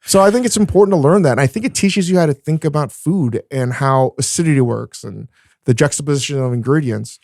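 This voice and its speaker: Gravelly-voiced man hosting a casual podcast. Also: a little high, brisk, no echo, studio quality. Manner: frank and animated, swears casually. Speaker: podcast host and chef.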